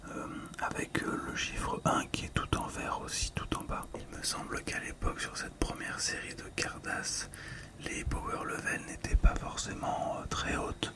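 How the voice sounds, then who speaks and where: A middle-aged ASMR host talking through a collectible in a calm, gentle, hesitant way, close to the microphone. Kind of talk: whispered ASMR commentary.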